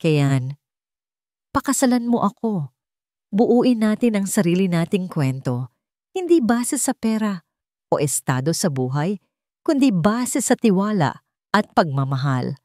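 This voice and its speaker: A woman's voice: medium pitch, big pitch swings, a little slow, long pauses, darkish tone, no echo, clean studio sound. A woman voicing an earnest, devoted young businessman making sincere, solemn declarations in a sentimental audio love story. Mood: solemn, pleading.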